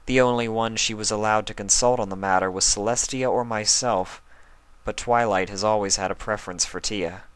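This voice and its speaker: A wise pony princess speaks with calm, measured solemnity, as a man reading a storybook puts her on. The voice stays fairly low. The recording is dry and close. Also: smooth, no room sound, lightly acted.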